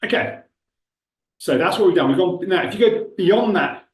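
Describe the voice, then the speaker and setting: A man's voice: sits high, expressive, moderate pace, far from the mic. Milton Keynes man, echoey and off-mic. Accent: Milton Keynes English. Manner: chatty, self-interrupting, thinks aloud while clicking. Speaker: recruitment trainer. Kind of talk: casual screen-share tutorial. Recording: roomy echo, speaker away from the mic.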